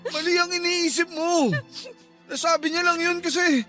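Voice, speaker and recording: A man's voice: extremely high pitch, steady tone, moderate pace, over music. A man playing a defensive, insistent, manipulative cheating lover in a radio drama.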